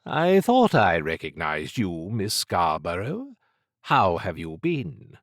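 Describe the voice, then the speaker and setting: A man's voice: low voice, wildly swooping pitch, slow pace, no room sound, studio quality, exaggerated voice. Man voicing an arch upper-class English schemer. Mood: smooth, smug. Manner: arch, urbane, needling. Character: slim androgynous upper-class occult schemer, smugly amused.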